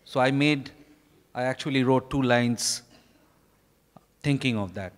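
Slow, clear-voiced Indian man in a hall. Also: mid-range voice. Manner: halting, deliberate, in short phrases. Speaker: author addressing an event. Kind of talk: formal prepared speech from notes. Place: stage in a large hotel ballroom. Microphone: handheld microphone. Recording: reverberant hall, live stage microphone.

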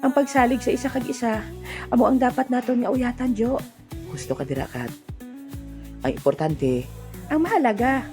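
A woman's voice: fairly high pitch, lively intonation, normal speed, pauses often, mellow, soft background music, natural voice. A woman playing a cheerful village sweetheart in a comic audio drama, with a Hiligaynon accent. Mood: playful, affectionate.

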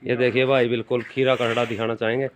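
A man's voice: mid-range voice, little pitch movement, fast talker. A man with a Haryanvi accent giving informal, emphatic livestock commentary outdoors and putting questions to a farmer, repeating himself for viewers. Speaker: livestock video presenter and interviewer. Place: open farmyard with brick walls. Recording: dull phone audio with faint noise.

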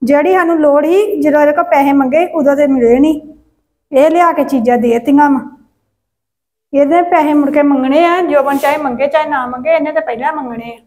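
A woman playing a young wife who is polite out loud but grumbles about her sister-in-law behind her back. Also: sits high, fairly quick, natural voice. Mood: grumbling. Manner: politely placating aloud, muttering grudges aside. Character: resentful young wife, sweet to faces, bitter behind backs. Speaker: wife of the brother. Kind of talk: village family drama acting.

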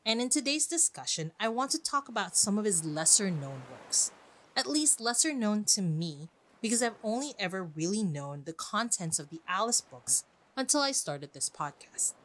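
A woman hosting a podcast in a chatty, expressive monologue, speaking directly to her listeners. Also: mid-range voice, moderate pace, low-quality recording. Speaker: podcast host. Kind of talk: podcast monologue on classic books.

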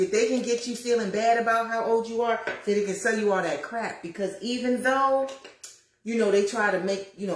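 A woman in her fifties talks straight to her viewers while she cooks, lively and a little preachy, calling out to them as y'all. Her voice sits at an ordinary middle pitch. It is picked up by a phone at arm's length and bounces around a roomy home kitchen. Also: slight background noise. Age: 50s. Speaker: lifestyle vlogger. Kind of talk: casual livestream chat while cooking. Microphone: phone camera at arm's length.

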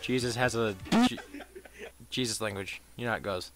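A young man giving a casual let's-play commentary, crude and rambling, with self-mocking jokes and sudden loud outbursts. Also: fairly low pitch, normal speed. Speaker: gaming commentator. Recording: game music loud underneath. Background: electronic dubstep game music.

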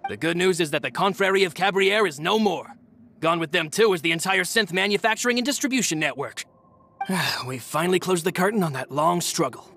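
Bright, sharp-voiced formal gang aide. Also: male voice, high voice, brisk, a little hiss or hum. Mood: formal, relieved. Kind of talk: video game character dialogue.

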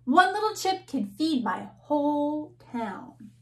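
A woman's voice: high voice, big pitch swings, fairly bright tone, heavily acted. A woman voicing a small pleading storybook ant. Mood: indignant. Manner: pleading, slow and sing-song rhyme. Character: small pleading male ant, brave and earnest.

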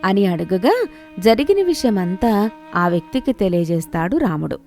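A woman's voice: medium pitch, sing-song, normal speed, a little mellow. A woman reading a scripted moral story as its storyteller. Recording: music behind the voice.

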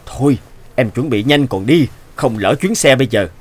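An actor playing a patient middle-aged father in a cartoon, gentle but firm and reasoning. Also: medium pitch, moderate pace, natural voice. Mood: mildly chiding. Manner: calm, reasoning.

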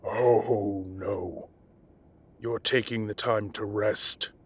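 A man playing a commanding, impatient but protective half-dragon mafia boss in an audio roleplay, with a rough voice and a bossy, scolding manner. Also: on the low side, steady tone, extremely slow, exaggerated voice. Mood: concerned, firm.

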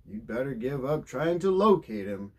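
A man acting a doubtful, dismissive friend in a storybook. He is emphatic, with a raspy voice and lively intonation. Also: mid-range voice, normal speed. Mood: discouraging. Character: skeptical, discouraging male friend.

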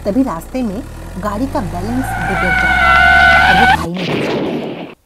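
A woman's voice: sits high, huge pitch swings, moderate pace. A woman narrating a scripted horror story over a noisy background.

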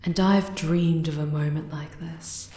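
A woman voicing a regal, adoring spider-woman queen in an intimate ASMR romance roleplay, tender and possessive, breathy and often slipping into a whisper. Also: low voice, steady tone, dark tone, roomy sound. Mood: tender, devoted. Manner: slow, doting, sensual endearments.

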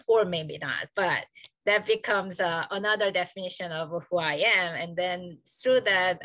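A woman from South Korea with a Korean accent, speaking on a video call. Her voice is bright and a little low, and the sound is close and dry. She talks earnestly and reflectively, pausing on fillers. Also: no echo. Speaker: associate professor, panelist. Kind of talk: panel discussion on video call.

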